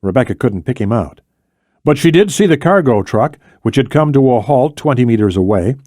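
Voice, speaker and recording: A man reading a thriller novel as scripted audiobook narration, in a measured, deliberate way with dramatic pauses. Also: medium pitch, expressive, warm tone, no room sound, clean studio sound.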